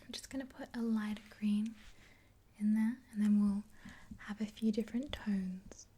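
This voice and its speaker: Young woman, breathy ASMR whisper, mellow, even pitch, gentle rambling chat. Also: moderate pace. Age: 20s. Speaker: ASMR roleplayer as makeup artist.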